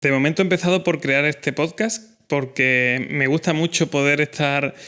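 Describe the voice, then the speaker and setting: Bright, clear-voiced man in his thirties. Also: fairly high pitch, steady tone, moderate pace. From Spain. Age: 30s. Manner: earnest, reflective, with fillers and restarts. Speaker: podcast host. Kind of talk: solo podcast monologue.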